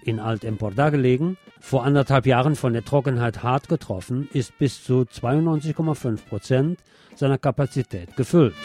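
A man presenting a scripted radio magazine with news and commentary, phrasing things in a wry, dramatic way. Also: mid-range voice.

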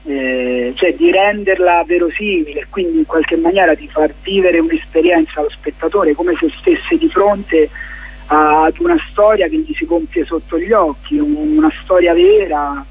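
A man giving reflective interview answers as a guest playwright, haltingly, searching for his words. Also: high voice, lively intonation, slightly rough, phone-quality sound. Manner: halting, searching for words, many fillers and restarts.

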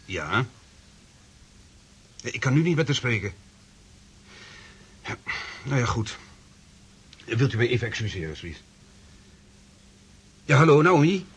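A man's voice: mid-range voice, sing-song, measured pace, long pauses, husky, exaggerated voice. An actor playing a flustered, uneasy businessman husband in a radio drama, hesitant in his delivery.

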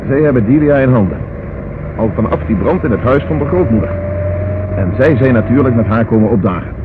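An actor in a radio play playing a brisk, authoritative police inspector, businesslike and courteous. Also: male voice, mid-range voice, animated, close to an everyday voice. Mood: explaining. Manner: businesslike, courteous but commanding. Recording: tinny, noisy old radio recording.

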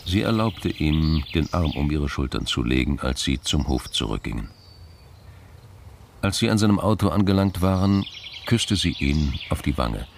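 A man narrating a crime radio drama in a gravelly, very low voice, as an unhurried storyteller. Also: lively intonation, a little hiss or hum, exaggerated voice. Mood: measured.

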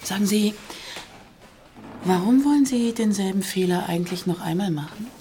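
An actress playing a persistent, sly journalist in a naturalistic radio crime drama, needling and self-assured. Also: female voice, medium pitch, moderate pace, dark tone, slight background noise, natural voice. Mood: needling, confident.

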